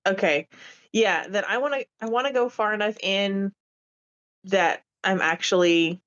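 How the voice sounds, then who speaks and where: A woman's voice: medium pitch, unhurried, fairly bright tone, smooth. Female tabletop player, casual and informal, thinking aloud, mid-range voice, slightly muffled lo-fi audio. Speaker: player in a tabletop roleplaying game. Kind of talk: casual game-table chat.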